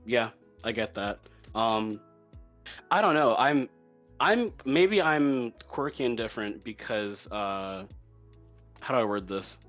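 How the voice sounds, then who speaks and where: A young man with a medium-pitched voice interviews a guest in a casual, chatty way. He talks loosely as a podcast host, and faint music plays behind him. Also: lively intonation, fairly bright tone, dull audio. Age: young adult. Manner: chatty, quick to laugh.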